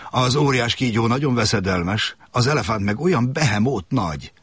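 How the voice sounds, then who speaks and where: A man voicing a small, gentle boy prince from a tiny planet, speaking high and full of wonder. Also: animated, breathy edge, no room sound.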